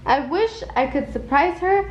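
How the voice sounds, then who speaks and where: A woman as an eager, high-voiced young girl. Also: lively intonation, measured pace. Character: eager, kind-hearted young girl who loves cooking. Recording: roomy, muffled, some background noise.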